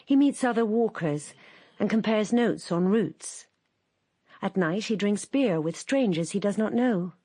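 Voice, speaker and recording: A woman narrating a radio drama in a calm, measured, slightly wry voice. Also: medium pitch, no echo.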